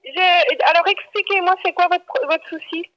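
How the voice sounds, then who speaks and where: A woman working as a shop employee, handling a customer call in a brisk, firm, questioning way. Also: very high voice. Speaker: bakery employee. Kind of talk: unscripted customer phone call. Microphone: telephone. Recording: dull, band-limited phone line.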